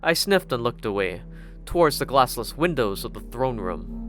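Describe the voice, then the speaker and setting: A man narrates first-person fiction in a scripted storytelling style. His intonation is very lively at a medium pitch, and music plays clearly underneath. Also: moderate pace. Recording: loud music bed underneath.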